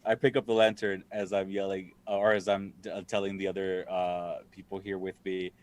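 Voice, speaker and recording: A man's voice: low-pitched, level tone, normal speed. A man playing a tabletop role-playing game, talking in character with a hesitant, stammering manner. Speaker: player.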